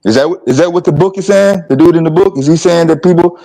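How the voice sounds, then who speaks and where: Plainspoken man in his fifties, slightly high. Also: gravelly, dark tone, low-quality recording. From American South. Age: 50s. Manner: plainspoken, halting, asks rhetorical questions. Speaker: livestream host. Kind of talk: casual discussion.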